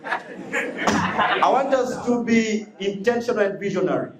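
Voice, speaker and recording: A man's voice: high voice, expressive, measured pace. A male student debater gives an insistent rebuttal, repeating himself to hold the floor. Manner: insistent, repeats phrases to command attention. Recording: echoey hall with a noisy crowd.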